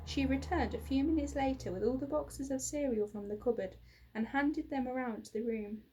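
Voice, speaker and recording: Female storybook reader, 30s, slightly high and husky, dramatic emphasis. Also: normal speed, dark tone, low-quality recording. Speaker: teacher reading a children's book aloud. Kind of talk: expressive storybook narration. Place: small plain indoor room.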